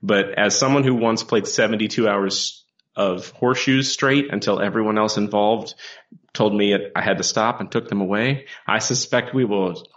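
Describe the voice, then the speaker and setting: Low-pitched man, joking and rambling. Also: normal speed, a bit bright, lo-fi sound. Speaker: co-host, comic book writer. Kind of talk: casual chat between co-hosts.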